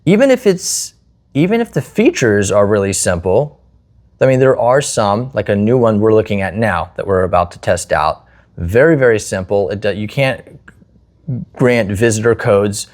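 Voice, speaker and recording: A man's voice: lowish voice, expressive, normal speed, a little mellow. A man talking casually and explaining his opinions as a host, often walking through hypothetical examples. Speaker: host, security installer.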